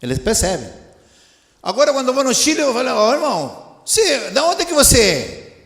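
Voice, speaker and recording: A man's voice: extremely high pitch, brisk, gravelly. A man preaching in a church, his first language Spanish and his Portuguese carrying a Spanish accent, who tells a story to the congregation and acts out the dialogue. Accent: Spanish-speaking. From Latin America. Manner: animated, acts out dialogue, addresses congregation directly. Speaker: missionary preacher. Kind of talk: storytelling sermon. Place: church sanctuary. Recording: echoey church hall.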